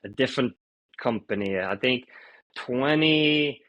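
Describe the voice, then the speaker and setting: A man in his thirties from Sweden, a fintech founder answering interview questions in an easygoing, story-like way with many pauses. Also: mid-range voice, highs cut off. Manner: relaxed storytelling with frequent "uh" pauses.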